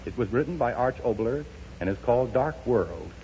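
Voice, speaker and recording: A man's voice: mid-range voice, expressive, moderate pace, dark tone, slight background noise. A man hosting a 1930s radio show, giving a scripted introduction in a formal, grand announcing manner. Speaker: radio program host. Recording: muffled 1930s radio broadcast.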